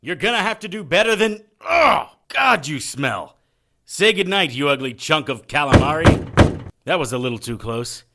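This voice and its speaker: A man's voice: fairly high pitch, sing-song, normal speed, bright, forceful tone, heavily acted. A man playing a gutsy, wisecracking 1940s detective hero in an audio drama, with a wry, hard-boiled way of talking. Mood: taunting, winded. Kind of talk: full-cast audio drama acting.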